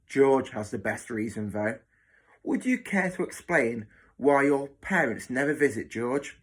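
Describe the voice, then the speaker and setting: A man's voice: medium pitch, expressive, measured pace. A man voicing a cruel, bossy hospital matron in a children's story, snapping and mocking with sarcasm. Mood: sneering, sarcastic.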